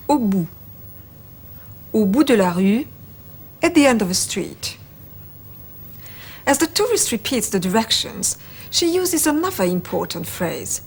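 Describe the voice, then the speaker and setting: A woman presenting a language course, reading phrases slowly and clearly as models to repeat. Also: mid-range voice. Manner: clear, deliberate model pronunciation. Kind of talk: slow scripted teaching narration.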